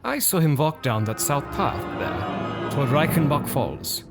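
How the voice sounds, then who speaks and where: An actor playing a polite, puzzled innkeeper in an audio drama, with loud music behind him. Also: male voice, a little high, sing-song, measured pace. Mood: polite bafflement.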